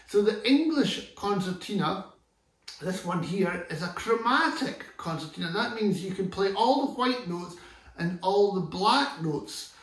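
A man's voice: high voice, expressive, a little slow, a bit bright. A Scottish man in his fifties explaining an instrument in a chatty, enthusiastic way, often restarting his sentences, seated in a living room. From Scotland. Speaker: concertina teacher. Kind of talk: relaxed tutorial explanation. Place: living room, sitting on sofa. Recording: echoey room from far off.

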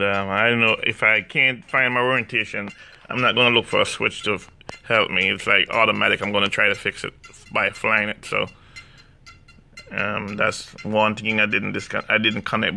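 A man with a Jamaican accent, talking through drone setup software in a hesitant way, full of fillers and restarts. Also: on the low side, gravelly, a little hiss or hum. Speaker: hobbyist demonstrating drone software. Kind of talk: casual screen walkthrough. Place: indoors, room with a laptop.

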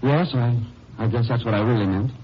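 An actor playing a cold, calm turncoat villain in a 1950s radio crime drama. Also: male voice, mid-range voice, fairly quick, close to an everyday voice. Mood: cold, curt. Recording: dull, noisy old radio recording.

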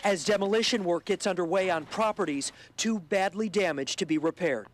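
Guy doing a live news hit outside at night, earnest, brisk, kinda high voice. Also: background noise. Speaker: field reporter. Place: outdoors at night in a residential street. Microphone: handheld mic.

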